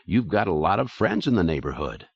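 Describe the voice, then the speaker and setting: A man voicing an elderly man speaking softly and calmly. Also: low voice, brisk, vocal fry, breathy edge, dry recording, highs cut off, exaggerated voice. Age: 70s. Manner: soft, calm. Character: elderly man, quietly enraged but gentle.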